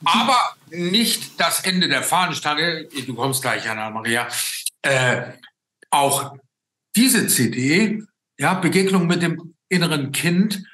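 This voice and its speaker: Slow, bright older man, roomy sound. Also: fairly high pitch, expressive. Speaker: host. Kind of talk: casual video-call interview. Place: room indoors, on a video call. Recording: roomy, echoing video-call sound.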